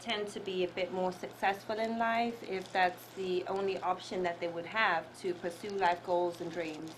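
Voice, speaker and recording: A woman's voice: on the low side, fairly even intonation, normal speed, rough recording. A woman hosting an interview show, asking long, formal questions politely. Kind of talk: hosted interview. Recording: recording with clear background noise.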